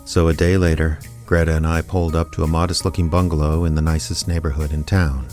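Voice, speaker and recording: An actor playing a sardonic, reluctant small-town investigator in a radio drama, with a dry, wry, hardboiled delivery. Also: male voice, a bass voice, flat delivery, fast, a little mellow, faint music behind. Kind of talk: hardboiled detective radio drama narration and dialogue.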